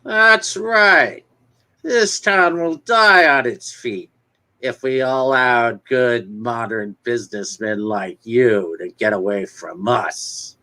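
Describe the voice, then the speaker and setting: A man acts out a wealthy small-town businessman from a 1950s romance comic. He is genial and sure of himself, with a slow, expansive delivery that swings widely in pitch. The voice is bright and forceful. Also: exaggerated voice. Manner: folksy, expansive, declamatory.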